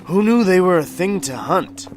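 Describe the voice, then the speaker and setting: Rattled villager, defensive, fairly high animated voice, radio drama. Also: male voice, moderate pace. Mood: surprised. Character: shaken, defensive townsman after a monster attack. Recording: loud music behind the voice.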